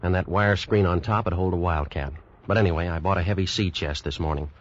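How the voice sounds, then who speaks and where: An actor playing a determined American snake collector in an old radio drama, with dramatic, deliberate storytelling. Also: male voice, low voice, a little hiss or hum, close to an everyday voice. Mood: firm, businesslike. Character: determined, hard-headed American snake collector narrating his adventure. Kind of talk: old-time radio drama narration and dialogue. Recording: dull vintage radio recording.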